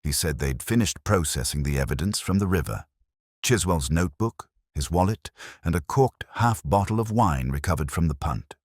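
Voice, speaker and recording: A man narrating a literary mystery in the first person, in a measured, melancholy and solemn way. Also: rumbling low, lively intonation, darkish tone, dry recording, clean studio sound.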